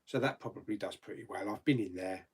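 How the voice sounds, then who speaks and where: A man's voice: on the low side, fast talker, breathy edge. A man hosting a casual live chat, rambling and reading out viewer comments, laughing easily and swearing mildly.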